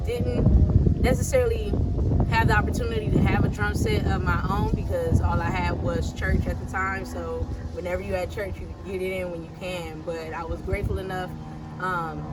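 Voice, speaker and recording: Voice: mid-range voice, very noisy. A drummer from Los Angeles, telling their story casually in an interview with frequent ums. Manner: easygoing, with frequent "um".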